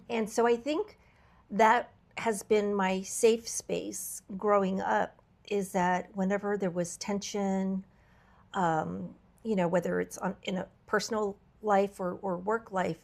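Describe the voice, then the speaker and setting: A woman hosting a podcast, her voice a little raspy from travel, talking in an earnest, reflective way with frequent fillers and restarts. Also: mid-range voice, fairly even intonation, slow pace, darkish tone. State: slightly raspy voice from travel fatigue. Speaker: podcast host. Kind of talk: candid podcast conversation.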